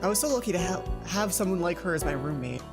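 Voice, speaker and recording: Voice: mid-range voice, lively intonation, quick pace, over loud music. A let's play host reading a visual novel aloud with casual commentary, stumbling over words and interrupting the narration.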